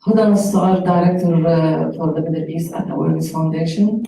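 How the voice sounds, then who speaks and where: A woman's voice: lowish voice, steady tone, brisk, gravelly, warm tone, slight background noise. A woman from Syria, speaking earnestly from the floor of a panel event into a handheld mic in a conference room. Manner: earnest, impassioned. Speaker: audience member, foundation director. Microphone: handheld microphone. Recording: echoey hall, distant mic.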